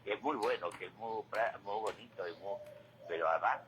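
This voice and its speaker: A man's voice: on the low side, moderate pace, a bit bright, a little hiss or hum, rough recording. A man with an Andalusian accent giving conversational economic commentary on radio, emphatic and didactic, repeating key figures to drive them home. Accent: Andalusian Spanish. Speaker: radio commentator on current affairs. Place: local radio studio.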